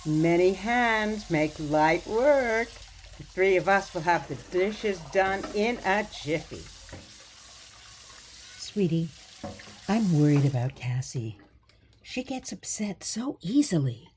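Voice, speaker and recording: Actress as a slow, genteel elderly mother, hissy recording. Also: on the low side, animated. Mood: fretful, sweet. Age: older. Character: genteel, fretful elderly mother, sweetly meddling. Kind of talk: radio play acting.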